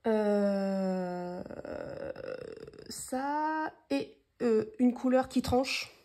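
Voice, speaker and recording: A woman's voice: on the high side, lively intonation, drawn-out words, warm tone. A woman from France with a head cold, chatting through a casual papercraft tutorial and rambling with many fillers as she shows her materials. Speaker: crafting tutorial host. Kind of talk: casual hands-on craft tutorial.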